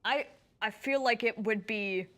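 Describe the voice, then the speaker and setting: A woman's voice: a little high, unhurried, a bit bright. A woman streamer giving informal, rambling live commentary while she plays a video game. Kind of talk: casual live gameplay commentary.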